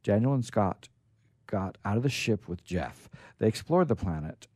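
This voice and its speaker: A man hosting a radio show, reading stories aloud in an informal, halting but theatrical way. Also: fairly low pitch, animated, a little mellow, husky, dry recording, clean studio sound. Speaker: radio show host and storyteller.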